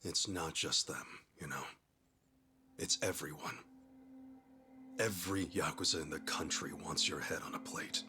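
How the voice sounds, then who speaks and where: A man's voice: very deep voice, measured pace, long pauses, sharp tone, a little hiss or hum, heavily acted. An actor playing an easygoing, sincere, loyal man in a video game cutscene, with an American accent and informal, earnest delivery. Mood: grave, earnest. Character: easygoing, loyal, sincere adult man, a yakuza's forgiving pal. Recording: music behind the voice.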